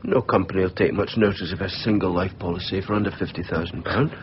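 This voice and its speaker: An actor in a radio drama playing an earnest, calculating schemer who talks in a measured, hesitant, confiding way. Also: male voice, low voice, steady tone, close to an everyday voice. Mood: businesslike, conspiratorial. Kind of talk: naturalistic 1990s radio drama acting. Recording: dull radio recording, faint noise.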